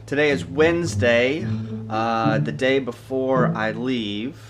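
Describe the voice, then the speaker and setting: An American man giving a casual, unscripted video walkthrough as a hobbyist, halting and matter-of-fact as he goes. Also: medium pitch, noisy background. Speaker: ham radio hobbyist vlogger. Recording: music bed behind the voice. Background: background guitar music.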